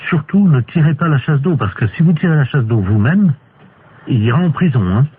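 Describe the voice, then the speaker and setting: Man posing as deadpan court official, tinny phone line. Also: fairly high pitch, brisk, slight background noise, natural voice. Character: deadpan male court official making absurd requests. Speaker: prank caller. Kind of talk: improvised telephone prank.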